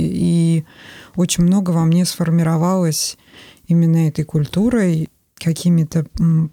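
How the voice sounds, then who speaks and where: Woman from Estonia, forties, gravelly, flat even delivery, dry close sound, reflective podcast talk. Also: low-pitched, no room sound. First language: Russian. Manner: thoughtful, measured, with many pauses. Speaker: podcast guest.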